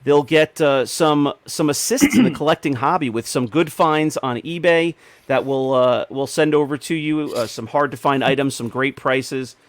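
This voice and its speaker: Casual male podcast host, slightly higher voice. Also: normal speed, dry recording. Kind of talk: casual group chat.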